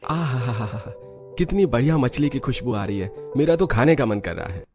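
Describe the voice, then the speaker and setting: A man's voice: medium pitch, lively intonation, moderate pace, natural voice. A man playing a poor, indebted village husband in a cartoon story, meek, grumbling and plaintive. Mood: craving, grumbling. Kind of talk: Hindi cartoon story acting. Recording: music behind the voice.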